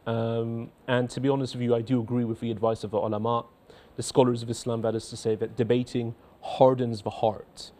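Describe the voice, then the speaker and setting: A man in his thirties with a faint Scottish accent, a cleric in a TV studio reading out and answering viewer letters in a measured, earnest way. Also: medium pitch, level tone, warm tone. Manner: measured, earnest, repeats words when starting sentences. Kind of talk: studio talk show, reading letters aloud. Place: indoor TV studio.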